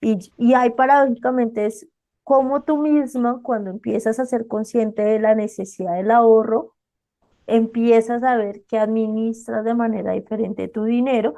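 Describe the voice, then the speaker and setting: A woman's voice: a little high, steady tone, normal speed, warm tone. A woman hosting a podcast, talking conversationally about her own saving habits and asking her guest questions. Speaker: podcast host. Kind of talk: casual podcast interview.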